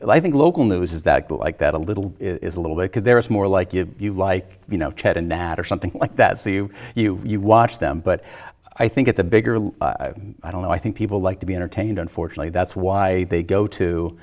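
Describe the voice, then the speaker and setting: A man's voice: low-pitched, fairly quick, darkish tone. A man in a casual discussion, giving his opinions hesitantly and circling before he lands on a point. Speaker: film discussion panelist.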